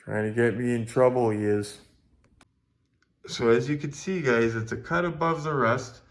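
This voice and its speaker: A man's voice: mid-range voice, normal speed. A man with a Canadian accent hosting a casual collectibles review, talking straight to viewers with enthusiasm and repeating phrases for emphasis. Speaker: collectibles video host.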